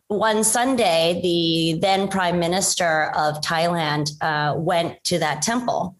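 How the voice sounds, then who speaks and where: A chatty woman with a slightly low voice talks through her story in a casual interview. She is self-deprecating and loose, full of fillers and restarts. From United States. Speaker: guest.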